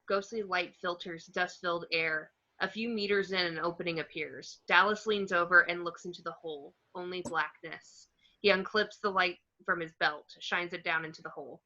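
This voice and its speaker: A woman reading screenplay action descriptions as narration, in a plain, measured way. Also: lowish voice, sharp tone. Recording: slightly dull home microphone.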